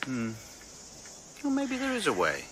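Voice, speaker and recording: Coaxing, charming husband, expressive. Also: male voice, on the high side, a little slow, heavy background noise. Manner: soothing, coaxing. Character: smooth, placating, charming sporty husband. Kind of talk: radio drama acting.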